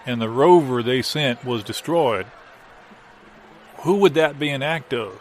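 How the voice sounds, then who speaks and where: A man playing an earnest, halting petitioner who reasons through questions in improvised comedy. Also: medium pitch, slight background noise, lightly acted. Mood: puzzled.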